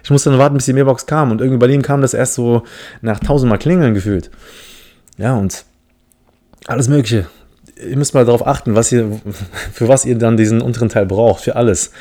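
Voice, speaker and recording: A man's voice: mid-range voice, steady tone, a little fast, warm tone. A man from the Philippines hosting a casual solo podcast, telling a story in a rambling, self-mocking way with many restarts. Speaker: podcast host.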